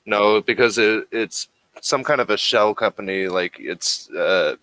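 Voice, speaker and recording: A man running a tabletop game as game master, answering players haltingly as he thinks aloud. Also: lowish voice, a bit bright, no echo.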